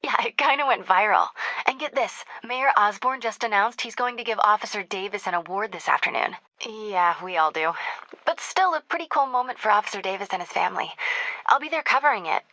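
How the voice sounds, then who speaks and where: An actress with an American accent playing a brisk, teasing young newspaper reporter in a video game. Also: female voice, mid-range voice, lively intonation, sharp tone, dry recording, low-quality recording. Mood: upbeat. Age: young adult. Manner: casual, quick-witted phone chatter.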